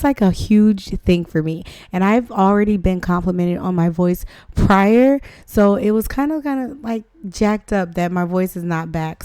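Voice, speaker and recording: Hoarse chatty woman game tutorial host. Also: medium pitch, warm tone, no room sound. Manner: chatty, rambling, self-deprecating. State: hoarse from flu, voice not back. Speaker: gaming channel host. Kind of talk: casual game tutorial narration.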